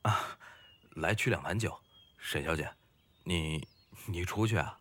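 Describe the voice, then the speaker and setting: A man's voice: low-pitched, measured pace, a bit bright. A man playing a wistful young scholar turned fisherman in a period audio drama, courteous and poetic in his phrasing. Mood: shy, hesitant.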